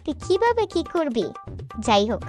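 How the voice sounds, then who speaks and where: A woman's voice: very high-pitched, very animated, moderate pace. A woman voicing a bold, fearless young village girl in a comic cartoon, sassy and teasing. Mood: mocking. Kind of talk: exaggerated comic cartoon voice acting. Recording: loud music under the voice.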